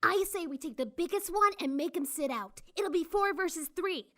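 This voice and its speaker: A woman's voice: extremely high pitch, expressive, normal speed, bright, forceful tone, heavily acted. A woman voicing a bold, competitive little filly, eager and pushy, urging emphatically in a cartoon storybook reading. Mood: determined.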